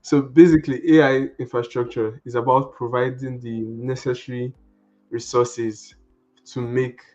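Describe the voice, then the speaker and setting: A man's voice: mid-range voice, level tone, a little slow, darkish tone, highs cut off, faint music behind. A man from Nigeria with a Nigerian accent, giving a friendly, casual tech workshop as a guest speaker over a video call. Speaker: guest speaker, network engineer.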